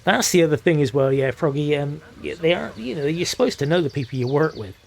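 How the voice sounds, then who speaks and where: A hoarse man, commentating a competitive video game match with excited, swearing energy. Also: on the high side, fast talker. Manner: energetic, swears freely, laughs often. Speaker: esports shoutcaster.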